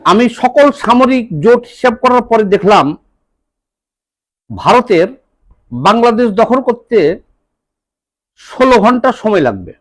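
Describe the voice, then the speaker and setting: A man from Bangladesh with a Bangladeshi accent, giving a political monologue in deliberate, halting phrases. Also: very high voice, very animated, fairly bright tone, low-quality recording. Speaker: political commentator.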